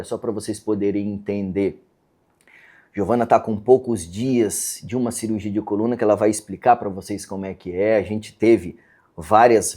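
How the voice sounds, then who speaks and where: A man's voice: lowish voice, mellow. A man hosting a podcast as a neurosurgeon, speaking earnestly in a casual interview chat with a Brazilian Portuguese accent. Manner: earnest, hesitant restarts, frequent "tá?" tags. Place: podcast studio.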